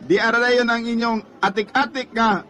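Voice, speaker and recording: An actor playing a rowdy, teasing teenage classmate in a radio drama, with a boisterous manner and a Cebuano accent. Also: male voice, very high-pitched, steady tone, moderate pace, pushed and bright, a little hiss or hum. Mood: gleeful, teasing. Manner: boisterous, laughing. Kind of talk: Bisaya radio drama acting.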